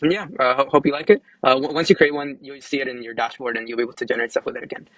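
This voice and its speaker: Young male, rapid speech, mid pitch, casual tech demo, hesitant word repeats, dry recording. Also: steady tone, a bit bright, no echo, rough recording. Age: young adult. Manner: halting, hesitant, informal, repeats words. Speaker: app developer presenting a demo.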